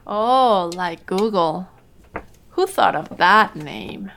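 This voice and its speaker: A gentle older mother in an audio drama. She sounds inquisitive and kind at a medium pitch. There is slight background noise. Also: animated, drawn-out words, vocal fry, full character voice. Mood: kind, curious. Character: kindly, curious older mother hosting dinner.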